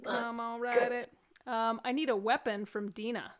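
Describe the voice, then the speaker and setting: A woman's voice: fairly high pitch, fairly even intonation, normal speed. A woman with a Texan accent hosting a live stream, chatting casually and joking in rambling asides. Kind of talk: casual comedic chat.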